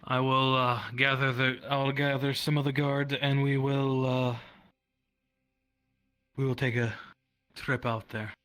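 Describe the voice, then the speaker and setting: A man's voice: medium pitch, little pitch movement, unhurried, a bit bright, smooth, natural voice. A man playing an obedient guard officer in a roleplay game, hesitant and deferential in a matter-of-fact way. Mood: dutiful, hesitant. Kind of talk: improvised roleplay in a virtual-world game.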